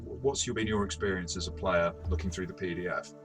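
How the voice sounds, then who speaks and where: Bright, low-pitched man reviewing games. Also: a little fast, husky, faint music behind. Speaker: co-host of a role-playing game review show. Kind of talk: casual review discussion.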